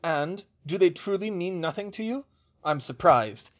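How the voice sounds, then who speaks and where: Man playing a needling, suspicious male diplomat, raised pitch. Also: lively intonation, normal speed. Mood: challenging, sardonic. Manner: pointed, challenging questions. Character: male Nordic ambassador, challenging, suspicious, needling.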